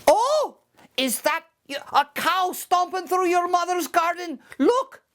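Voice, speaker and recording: A woman voicing a sly, tricky little male leprechaun with a shrill voice, in a storybook reading. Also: expressive, slow pace, fairly bright tone, exaggerated voice. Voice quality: shrill, squealing.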